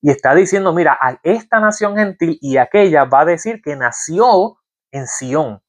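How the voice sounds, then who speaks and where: A man's voice: a little high, animated, moderate pace. Man teaching from the Bible. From Puerto Rico. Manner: asks the listener rhetorical questions, reads scripture aloud. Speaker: preacher.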